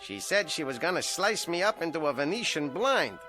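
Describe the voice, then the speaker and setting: A man voicing a sour, wisecracking male ventriloquist dummy who needles his partner in a gruff, sarcastic way. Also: fairly high pitch, sing-song, a little fast, bright, forceful tone, faint music behind.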